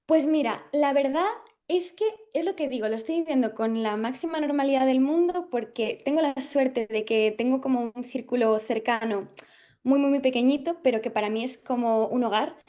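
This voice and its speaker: A young woman from Galicia, an actress, talking through an interview thoughtfully, with frequent pauses and fillers as she searches for words. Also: high-pitched, mellow. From Galicia, Spain. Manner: thoughtful, hesitant, many fillers and restarts. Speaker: actress guest. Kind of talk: casual interview chat.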